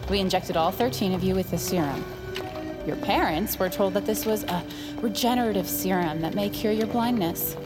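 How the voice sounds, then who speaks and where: An actress playing a cold, smug scientist villain in an audio drama, condescending and gloating beneath a soothing front. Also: female voice, medium pitch, normal speed, close to an everyday voice. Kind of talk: scripted audio drama acting. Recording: dramatic music behind the voice.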